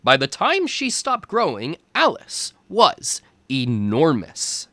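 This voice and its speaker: A man reading a storybook aloud as a teacher for young children, in deliberate phrases. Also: a little high, wildly swooping pitch, sharp tone, dry recording.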